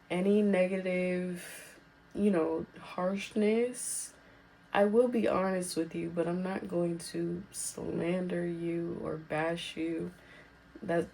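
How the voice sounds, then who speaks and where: A woman hosting a podcast as casual solo girl talk, informal and reassuring, her voice slightly raspy as she recovers from being sick. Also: medium pitch, a little mellow, rough recording. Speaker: podcast host.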